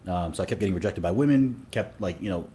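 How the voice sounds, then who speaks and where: A man's voice: low voice, animated, quick pace, dark tone, slight background noise. A man from Sudan, a dating coach, talking casually in an interview with many fillers and restarts.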